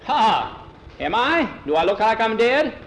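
Man voicing a boisterous, high-pitched old sea captain. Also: very animated, a little slow, a bit bright, slight background noise, exaggerated voice. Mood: blustering, hearty. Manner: salty sailor talk, exclaiming.

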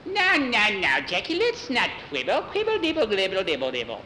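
A man's voice: high-pitched, huge pitch swings, moderate pace, pushed and bright, slight background noise, voice pushed up, exaggerated voice. A man voicing a crafty old witch from a storybook, haughty and gleeful, with exaggerated delivery. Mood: irritated. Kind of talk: storybook character voices.

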